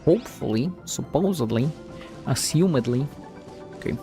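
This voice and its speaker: A male game streamer with a creaky, mid-pitched voice. He gives casual, playful reactions and muttered asides, with faint music behind. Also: expressive, moderate pace, mellow. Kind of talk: casual live reaction commentary over a game.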